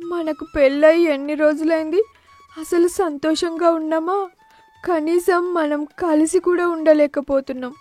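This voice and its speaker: High-pitched pleading young wife played by a woman. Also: warm tone. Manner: pleading, plaintive. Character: unhappy, fearful young wife pleading with husband. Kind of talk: cartoon moral story acting.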